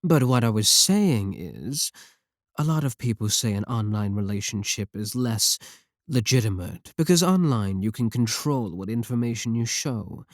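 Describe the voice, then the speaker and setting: A man's voice: medium pitch, expressive, normal speed, dry recording, studio quality, close to an everyday voice. Man acting as a clingy, swoopy-voiced yandere male streamer. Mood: obsessive, coaxing. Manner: needy, defensive, pleading. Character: clingy, possessive yandere male VTuber pleading with a viewer. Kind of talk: intimate ASMR roleplay monologue to listener.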